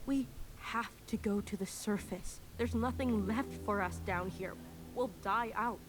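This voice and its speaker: A woman's voice: medium pitch, a little slow, lightly acted. A woman voicing an earnest sister in a video game drama, pleading with those around her. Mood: urgent pleading. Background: game music.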